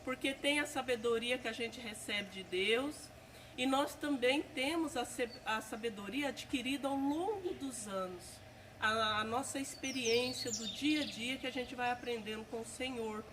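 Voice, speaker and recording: Woman, church interviewer, affirming and gentle, slightly high voice, distant mic in a noisy outdoor spot. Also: moderate pace.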